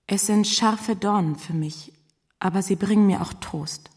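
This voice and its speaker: A woman's voice: medium pitch, a little slow, darkish tone, natural voice. An actress playing a remorseful, grateful young woman, voicing a farewell letter solemnly in a radio drama. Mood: sorrowful, grateful. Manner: solemn, heartfelt delivery.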